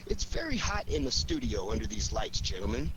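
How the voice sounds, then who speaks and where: An actor playing a cool, confident amateur detective in a 1940s radio drama, with a theatrical, commanding delivery. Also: male voice, on the low side, big pitch swings, a little fast, fairly bright tone. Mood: deliberate. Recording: noisy 1940s radio recording.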